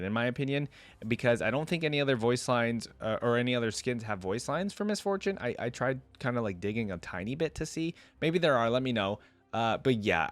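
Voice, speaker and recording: A man talks casually to viewers as the host of a reaction video. His pitch is average and his commentary is informal and conversational, recorded very dry with no room sound. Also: no room sound.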